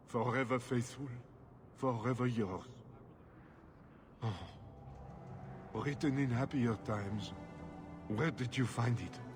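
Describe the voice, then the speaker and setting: Slow-spoken, evasive husband. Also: male voice, mid-range voice. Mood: subdued. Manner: halting, evasive. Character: evasive, uneasy, self-protecting human husband. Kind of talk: cinematic fantasy game acting.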